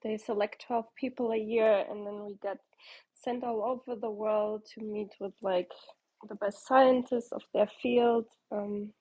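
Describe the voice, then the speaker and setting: A woman's voice: mid-range voice, steady tone, unhurried, breathy edge, muffled recording. A woman from Portugal in her thirties, a startup founder answering interview questions in a rambling way with many ums and restarts.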